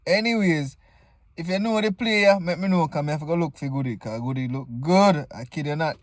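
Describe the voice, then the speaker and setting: Jamaican male comedy reaction host, fairly high voice, informal joking Patois, close dry recording. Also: a little fast, dry recording. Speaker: video host reacting to stage play clips. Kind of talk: casual comedic reaction commentary.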